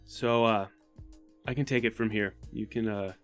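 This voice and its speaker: A man with a fairly low voice plays in a tabletop game. He describes his character's actions casually, feeling out the words as he goes, over faint background music. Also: steady tone, moderate pace. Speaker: tabletop roleplaying player.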